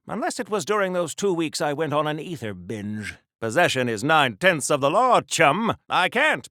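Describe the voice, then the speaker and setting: A man's voice: on the high side, sharp tone, dry recording, clean studio sound. An actor playing a bombastic patriotic 1940s American soldier superhero in a video game, theatrical and old-fashioned in his phrasing. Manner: theatrical, declamatory, quaint old-time phrasing.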